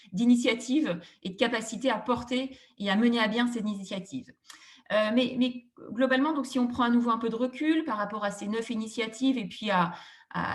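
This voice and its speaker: Woman, slightly high voice, formal webinar presenter, analytical, long sentences, frequent filled pauses, repeated words. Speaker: housing policy consultant presenting a study. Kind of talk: semi-scripted webinar presentation, formal and explanatory.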